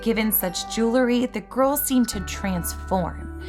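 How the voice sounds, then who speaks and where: A woman voices a bookish fairy scholar with a high voice. She lectures earnestly, like someone obsessed with magical gems, in an excitable roleplay performance. Music plays behind her. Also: unhurried, close to an everyday voice. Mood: storytelling, grand. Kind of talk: scripted roleplay voice acting with storytelling.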